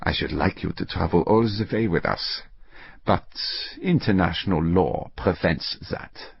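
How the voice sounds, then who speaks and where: A man's voice: low voice, a little slow, vocal fry, husky, heavily acted. A man voicing a pompous German colonel in an audiobook story, coolly triumphant, with clipped, condescending courtesy, in slightly muffled audio. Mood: coolly courteous.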